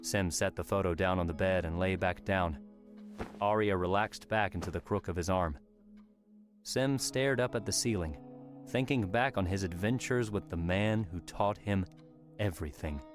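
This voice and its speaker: A man's voice: sits low, normal speed. A man reading scripted story narration as an audiobook narrator. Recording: music under the voice.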